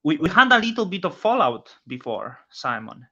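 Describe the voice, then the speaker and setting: Polish-accented man, casual host, very bright tone, slightly raised pitch, halting speech. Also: very animated, slightly rough. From Poland. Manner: halting, many restarts, non-native phrasing. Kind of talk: casual chat. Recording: dull lo-fi audio.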